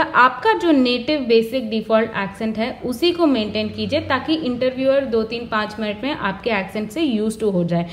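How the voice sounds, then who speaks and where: High-voiced woman giving interview tips. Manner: earnest, instructive, lists points one by one. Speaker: career advice vlogger. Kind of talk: explanatory advice talk.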